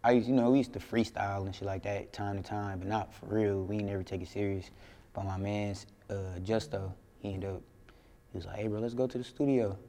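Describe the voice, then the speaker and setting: A young man from Flint, Michigan, a rapper telling stories in a casual interview, laid-back and slangy, often trailing off quietly. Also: fairly low pitch, steady tone, a little fast, in a large hall. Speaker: rapper guest. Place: indoor interview room.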